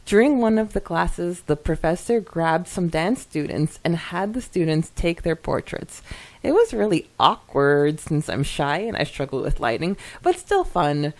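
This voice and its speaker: Woman, slightly low pitch, animated range, effusive, giggly, casual podcast chat. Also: unhurried. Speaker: podcast co-host. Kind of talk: casual chat, reading listener comments aloud.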